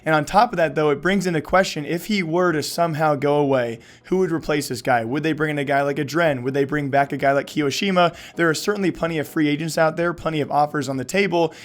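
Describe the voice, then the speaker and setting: A man's voice: a little high, quick pace, hardly any pauses. A man hosting an esports news show, talking energetically while losing his voice. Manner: energetic, many fillers. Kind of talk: fast esports news commentary.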